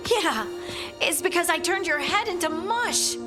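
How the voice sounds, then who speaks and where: A woman's voice: very high voice, lively intonation, measured pace, music in the background. A woman voicing an earnest, plain-spoken dwarf companion in a video game, informal and emotionally open. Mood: agitated, upset.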